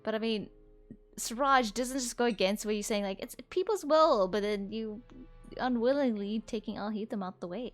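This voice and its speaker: A woman's voice: on the high side, normal speed, faint music behind. A woman giving casual let's-play commentary, chatty and thinking aloud. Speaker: gaming streamer.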